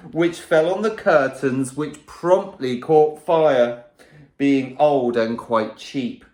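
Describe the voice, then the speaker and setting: A male primary school teacher reading a rhyming picture book aloud to children in a playful, lively way. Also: fairly high pitch, echoey room.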